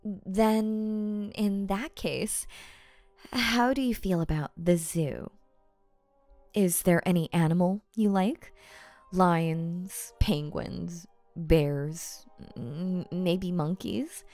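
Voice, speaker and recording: A woman acts out a rich older yandere girlfriend in an ASMR roleplay. She speaks very slowly in a fairly low voice, coy and halting, doting on her partner with an obsessive edge. Faint music plays behind her. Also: a little mellow, no echo. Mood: doting, eager. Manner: coy, halting, stammering, cooing pet names. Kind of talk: ASMR roleplay audio acting.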